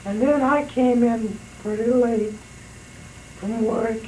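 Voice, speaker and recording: A man in his sixties with a raspy voice left by throat surgery, slowly recounting memories in a home oral history interview. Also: very high-pitched, fairly even intonation, warm tone, slight background noise, low-quality recording. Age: 60s. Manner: slow, unhurried storytelling with pauses.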